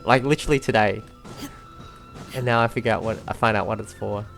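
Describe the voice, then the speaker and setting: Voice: mid-range voice, fairly even intonation, fairly quick, gravelly, a bit bright, faint music behind. A gamer giving casual commentary while playing, talking to themselves and viewers with frequent exclamations. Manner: talks to self and viewers, exclaims, giggles often. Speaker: let's play video host.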